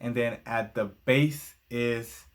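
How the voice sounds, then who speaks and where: A man's voice: mid-range voice, steady tone, slow. A young man whose first language is Spanish reviews a book in a casual vlog, hesitating often with fillers and glancing at his notes.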